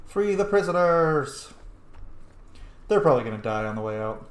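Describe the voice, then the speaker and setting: A playful gamer commentating casually in a very animated, mid-pitched voice. The talk is jokey and sweary, with excitable swings. The room is echoey, with faint noise and game ambience behind. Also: moderate pace. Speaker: gamer, let's play host.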